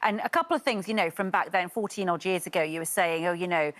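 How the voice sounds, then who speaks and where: A woman's voice: fairly low pitch, quick pace. Friendly Scottish woman TV host. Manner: friendly, gently prompting interviewer. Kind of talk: morning TV interview. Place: TV studio.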